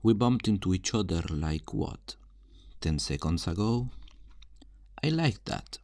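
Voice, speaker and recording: A man's voice: animated, unhurried, a little mellow, no echo. A man playing a cocky, flirtatious thief in a fantasy roleplay, teasing and theatrical, speaking straight to the listener. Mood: teasing, amused. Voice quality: low voice. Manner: teasing, theatrical, smugly self-assured, uses endearments.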